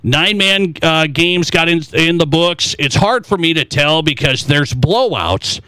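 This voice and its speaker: A man's voice: fairly high pitch, animated, a little slow, pushed and bright, smooth, rough recording. An American man hosting a sports talk radio show, asking questions and setting up topics in conversation.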